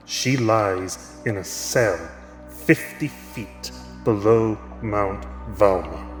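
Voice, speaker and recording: Imperious king for audio drama: low, slow delivery, solemn and grave. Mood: grave, urgent. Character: grave commanding king speaking to his knight. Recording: echoey hall reverb, music behind.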